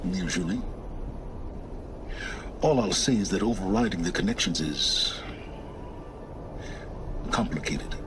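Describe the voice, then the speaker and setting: An actor playing a secretive middle-aged scholar in a video game cutscene, speaking in a measured, condescending and impatient way. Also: male voice, low-pitched, animated, noisy background. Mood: explanatory, dry. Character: secretive, condescending older male lone scholar and wanderer.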